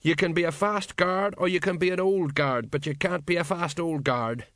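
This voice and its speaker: A man's voice: fairly high pitch, fairly quick, no room sound, clean studio sound, pitched above the performer's own voice, close to an everyday voice. A man voicing a wistful, miserable older watch sergeant who rambles through his memories in an audiobook. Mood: glum. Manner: miserable, rambling working-class storyteller. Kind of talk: audiobook character dialogue.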